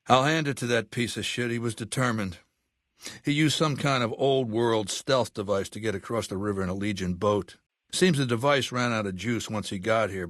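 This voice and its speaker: An actor playing an imperious, cerebral middle-aged warlord in a video game, speaking with deliberate, disdainful authority. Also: male voice, lowish voice, fairly bright tone, dry recording, clean studio sound, close to an everyday voice. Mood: amused scorn. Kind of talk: video game character dialogue.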